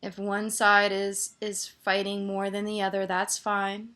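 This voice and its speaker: Slow-paced woman guiding a meditation. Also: mid-range voice, monotone. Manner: gentle, soothing instruction with pauses. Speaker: meditation teacher. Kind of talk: guided body scan meditation.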